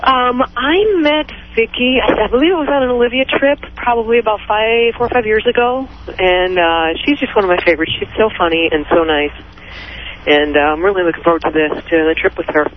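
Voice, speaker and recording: A woman's voice: medium pitch, fairly quick, phone-quality sound, a little hiss or hum. A woman with a Midwestern accent, a stand-up comedian chatting as a radio guest, laughing often and self-deprecating. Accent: Midwestern American. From Detroit, Michigan. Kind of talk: casual radio interview chat.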